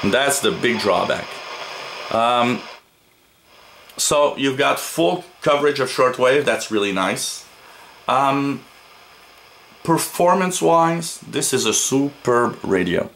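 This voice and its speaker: A man giving an unscripted product review as a reviewer, in a halting way with frequent pauses. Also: medium pitch, expressive, fairly bright tone, low-quality recording. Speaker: radio reviewer. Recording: noticeable background noise.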